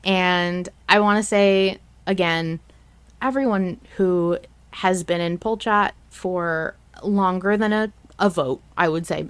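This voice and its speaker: A woman hosting a podcast, chatting casually and emphatically, with the odd swear word. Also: fairly low pitch. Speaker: podcast host.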